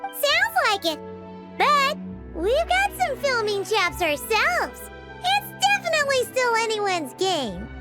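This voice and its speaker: A woman voicing a tiny, childlike, cheeky fairy companion with a squeaky, chirpy voice in an anime game dub. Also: wildly swooping pitch, takes it slowly, music in the background, full character voice. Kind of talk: English-dubbed anime game acting.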